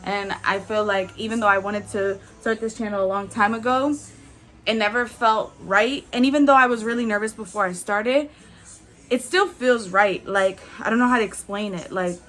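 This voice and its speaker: A woman in her twenties chatting candidly as a beauty vlogger while doing her makeup, with frequent "like"s and soft laughs. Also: medium pitch, fairly even intonation, normal speed. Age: 20s.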